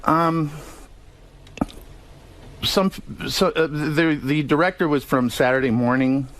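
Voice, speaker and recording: A man telling casual anecdotes as an interview guest, hesitant and halting, with many ums and self-corrections. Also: on the high side, a bit bright. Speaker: radio show guest, TV comedy writer-producer.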